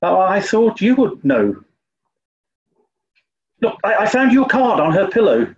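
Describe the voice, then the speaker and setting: A man's voice: sits high, big pitch swings, unhurried, exaggerated voice. A male actor as a dim, snobbish upper-class English toff from a 1940s radio play. He dithers pompously, his voice swooping widely, on a slightly dull recording. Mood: flustered, haughty. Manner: flustered, pompous, hesitant. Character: dim-witted, snobbish English gentleman, bumbling amateur sleuth.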